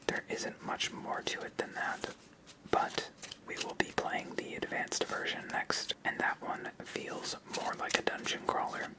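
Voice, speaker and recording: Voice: a little slow, low-quality recording. An ASMR host whispering commentary while playing through a tabletop card game.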